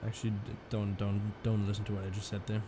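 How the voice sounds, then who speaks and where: A man's voice: lowish voice, completely flat delivery, fast, noisy background. A man live-streaming a flight simulator, chatting casually with viewers and rambling through fillers and restarts.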